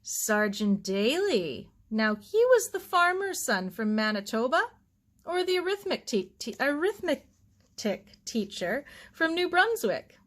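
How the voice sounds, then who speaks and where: A woman storyteller acts a middle-aged storybook aunt, calm and sensible. She chides and teases kindly, in a higher, lively voice that takes its time. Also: measured pace, exaggerated voice. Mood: teasing.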